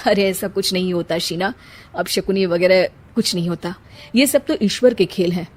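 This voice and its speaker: A woman voicing a gentle, reassuring young husband in an earnest cartoon drama style. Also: lowish voice, normal speed, natural voice. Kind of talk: Hindi moral-story cartoon dubbing.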